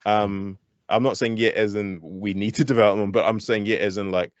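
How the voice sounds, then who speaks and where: A man with a New Zealand accent, a hip-hop artist guesting on an interview, talking candidly with quick restarts and lively pitch jumps. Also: dry recording, muffled recording. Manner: animated, thinks aloud with many restarts. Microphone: online call.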